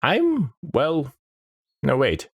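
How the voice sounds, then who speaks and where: A man's voice: on the high side, huge pitch swings, very slow, creaky, breathy edge, no room sound, studio quality, voice pushed up, exaggerated voice. A man voicing a scatterbrained, cheerful old male time wizard with a reedy voice and a forgetful, dithering way of talking.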